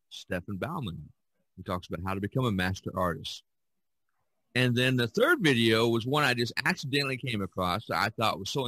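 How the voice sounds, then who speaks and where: A man's voice: fairly low pitch, pushed and bright, no room sound, rough recording. A man hosting a podcast in casual chat, rambling and often repeating himself. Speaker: podcast host.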